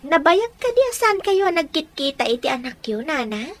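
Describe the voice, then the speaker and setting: High-voiced gentle young woman. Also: sing-song, normal speed. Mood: earnest, respectful. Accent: Ilocano. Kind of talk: radio drama acting.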